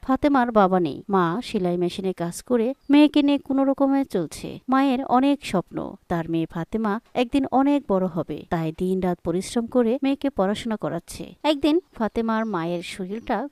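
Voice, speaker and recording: A woman's voice: mid-range voice, animated, brisk, few pauses, a little mellow, clear, resonant tone, no echo, clean studio sound. A woman narrating a scripted children's cartoon story.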